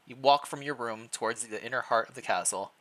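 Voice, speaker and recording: A man's voice: medium pitch, brisk, a bit bright, low-quality recording. A man narrating fan fiction expressively as a streamer, mixed with casual chat. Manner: stumbles over words while reading aloud, self-deprecating.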